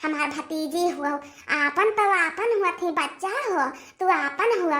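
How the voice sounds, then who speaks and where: Woman doing a high-pitched, grumbling old Awadhi mother-in-law. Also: sharp tone, full character voice. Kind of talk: village cartoon dialogue.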